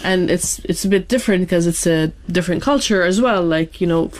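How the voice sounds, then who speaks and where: A woman's voice: lowish voice, brisk, dry recording. A woman guest in an interview, telling a personal story casually and acting out her own thoughts as quotes.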